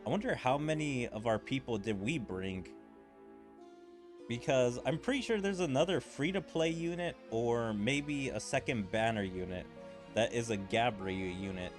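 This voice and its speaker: A man streams a game with clear music playing behind him. His voice sits at an average pitch. He talks casually, turning things over and speculating aloud as he comments. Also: animated, normal speed. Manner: musing, speculative. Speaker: gaming streamer.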